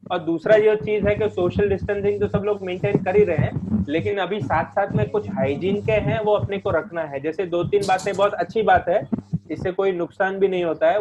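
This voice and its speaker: High-pitched man on a muffled, noisy call. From India. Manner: reassuring, instructive, repeats points. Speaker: host of a group conference call. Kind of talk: informal explanatory advice on a group call. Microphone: conference call line. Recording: muffled conference call with heavy background noise.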